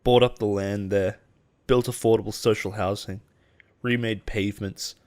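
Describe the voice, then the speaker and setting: A man playing a possessive, persuasive boss in an intimate audio roleplay, speaking in a measured, earnest way with deliberate pauses. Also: fairly low pitch, fairly even intonation, darkish tone, lightly acted. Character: possessive, persuasive male CEO boss, smooth and protective. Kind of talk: intimate dramatic roleplay monologue.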